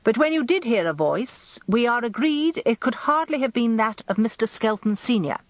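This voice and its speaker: A woman's voice: a little high, expressive, moderate pace, no room sound, muffled recording, voice pushed up, lightly acted. A British woman voicing a blustering, combative older male defence barrister with theatrical courtesy in a radio story. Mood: combative, probing. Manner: growling, elaborately courteous, theatrical cross-examiner.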